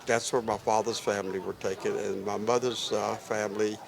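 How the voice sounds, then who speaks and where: Halting, gravelly man in his eighties. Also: fairly low pitch, little pitch movement, crowd noise. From Ukraine. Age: 80s. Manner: halting, with many filler pauses. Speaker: Holocaust survivor interviewee. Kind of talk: live interview testimony.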